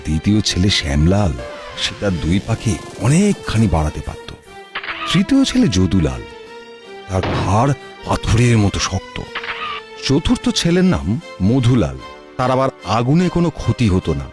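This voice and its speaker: A man narrating a folk-tale cartoon in a deliberate, expressive storytelling manner. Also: fairly low pitch. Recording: music behind the voice. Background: background music.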